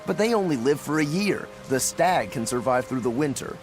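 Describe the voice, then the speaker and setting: A man's voice: medium pitch, animated, moderate pace, over music, lightly acted. Rokurou, a man playing a laid-back swordsman. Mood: excited. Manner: casual, blunt, playful. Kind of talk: game dub acting.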